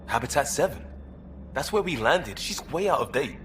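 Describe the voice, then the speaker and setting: A man's voice: mid-range voice, lively intonation, normal speed, a bit bright, slight background noise, natural voice. A man playing an easygoing, wry crewmate in a science fiction game, speaking casually and dryly. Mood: puzzled, dry. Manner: casual, dry. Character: easygoing, wry male crewmate of a space explorer. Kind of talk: naturalistic video game acting.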